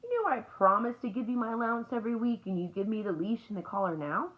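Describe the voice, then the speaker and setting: A woman's voice: a little high, animated, fast, a bit bright, voice pushed up, rough recording. A woman voicing an earnest young girl in a storybook, eager, polite and persuasive. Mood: eager, pleading.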